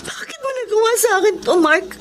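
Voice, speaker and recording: Very high-voiced emotional young Filipina. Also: female voice, lively intonation, fast, over music. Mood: hurt, accusing. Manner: emotional, plaintive. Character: heartbroken, grateful young daughter, a singing contest winner. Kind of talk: Tagalog radio drama acting.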